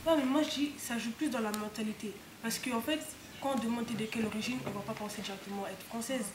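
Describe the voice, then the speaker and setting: A woman's voice: on the high side, fairly even intonation, fast. A teenage girl in a casual classroom debate, unsure and restarting her phrases, in an echoey room with background noise. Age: teens. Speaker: student in a group discussion.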